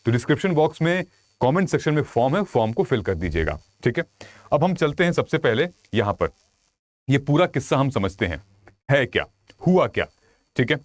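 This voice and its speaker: A man's voice: medium pitch, very animated, brisk, no room sound. A man giving an informal explainer to viewers as a coach and host, telling it conversationally with frequent check-in tags. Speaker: UPSC exam coach and video host.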